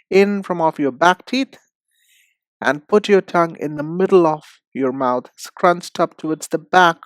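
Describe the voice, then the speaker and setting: Male language tutor for lesson narration. Slow, deliberate delivery, expressive mid-range pitch, addresses the learner directly. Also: dry recording, clean studio sound. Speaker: English pronunciation teacher. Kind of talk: instructional lesson.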